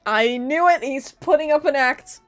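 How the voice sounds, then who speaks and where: A woman's voice: high voice, moderate pace, faint music behind. A woman streamer giving casual gameplay commentary, teasingly talking back to the characters. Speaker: gaming streamer.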